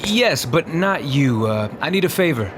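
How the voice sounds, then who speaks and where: A man's voice: on the high side, big pitch swings, moderate pace, noisy background. A man playing a discreet, authoritative police chief in an audio drama, giving instructions in a guarded way.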